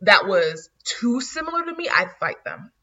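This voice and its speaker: A woman's voice: mid-range voice, sing-song, moderate pace, pushed and bright, muffled recording. A woman in her early twenties chatting casually as a podcast co-host, blunt and full of fillers and laughs. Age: 20s. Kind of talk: casual chat.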